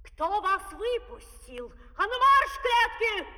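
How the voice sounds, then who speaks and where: Imperious scolding opera villainess, swooping shrill voice, old echoey record. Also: female voice, big pitch swings, slow, bright, forceful tone, exaggerated voice. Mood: bossy. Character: bossy shrill madam, proud menagerie owner. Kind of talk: theatrical comic opera acting with singing. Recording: old vinyl record, reverberant hall.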